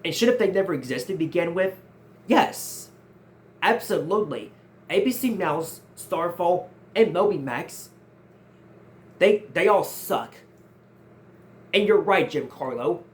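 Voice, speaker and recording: Young man, 19, high for a male voice, earnest Q&A host who restarts his sentences. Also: lively intonation, a little slow, pauses often, slight background noise. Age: 19, nearly 20. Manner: earnest, repeats and restarts phrases often. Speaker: channel host answering viewer questions. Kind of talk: casual Q&A vlog.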